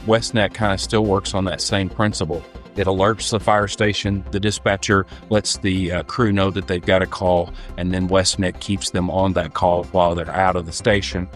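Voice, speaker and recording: Man, low-pitched voice, fire department official, plainspoken interview explanation, light background music.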